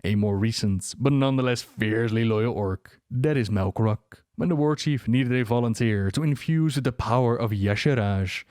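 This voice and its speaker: A man's voice: medium pitch, a little mellow, dry recording. Male narrator with a dry, close sound. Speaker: video narrator. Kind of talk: scripted lore narration.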